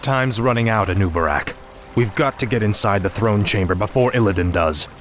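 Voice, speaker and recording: An actor playing a ruthless young fallen prince turned death knight in a fantasy game, grim, resolute and theatrical. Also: male voice, lowish voice, brisk, slight background noise, natural voice. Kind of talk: fantasy video game acting. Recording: music behind the voice.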